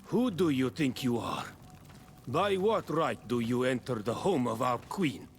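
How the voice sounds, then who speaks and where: A stern guard of a fantasy clan. His voice sits at a middle pitch in game dialogue, with faint noise around it. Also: animated, measured pace. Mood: hostile challenge. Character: stern native guard of a queen's home. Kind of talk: video game cutscene dialogue.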